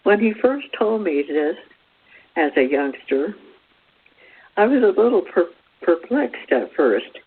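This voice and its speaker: A woman presents a spiritual talk over a thin, phone-like radio connection. She speaks slowly, with a husky edge to her voice, in a measured style that sounds read from a script. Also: fairly low pitch, sing-song. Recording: tinny phone-like radio line.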